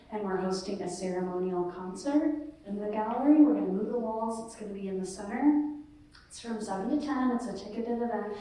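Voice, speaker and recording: A woman's voice: mid-range voice, mellow. A woman organizing a gallery show, speaking on a panel in a friendly, informative way. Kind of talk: casual panel discussion. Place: large echoey gallery, live event. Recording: echoey gallery from far off.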